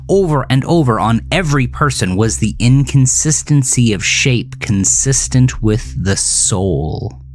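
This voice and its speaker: A man narrating a scripted horror story in a measured, dramatic way with deliberate pauses. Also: medium pitch, a little hiss or hum.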